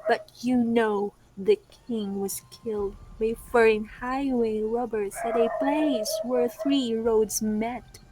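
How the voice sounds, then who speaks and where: A woman playing a reassuring queen and wife in a readers' theatre Greek tragedy, reciting her lines deliberately. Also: fairly high pitch, steady tone, noisy background. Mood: solemn recounting.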